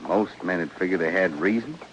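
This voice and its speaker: A man's voice: deep voice, a little fast, close to an everyday voice. An actor playing a vengeful Old West gunman, taunting and coolly threatening, in 1950s radio western style. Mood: taunting menace. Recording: dull, hissy old radio recording.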